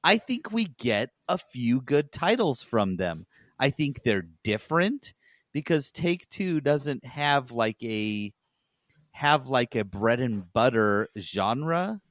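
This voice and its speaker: Man explaining haltingly, dry sound. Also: medium pitch, big pitch swings, a bit bright, no room sound. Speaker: podcast co-host. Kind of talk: casual opinionated discussion.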